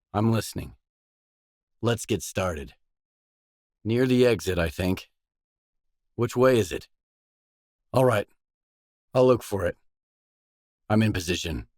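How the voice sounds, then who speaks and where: Guarded stalker mercenary, video game dialogue, lowish male voice, terse, dry delivery, very dry studio sound. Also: a little mellow, no room sound.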